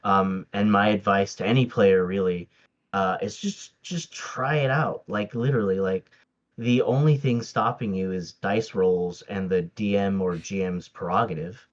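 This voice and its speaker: Hesitant deep-voiced man, muffled audio. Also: unhurried. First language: Tagalog. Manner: hesitant, many fillers and restarts, laughs often. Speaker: podcast guest. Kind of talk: casual interview chat.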